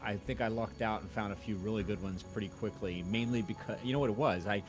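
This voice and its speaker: Man, deep voice, casual chat, rambling and self-effacing, music clearly playing behind. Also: fast. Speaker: audio drama creator and podcast host; university audio teacher.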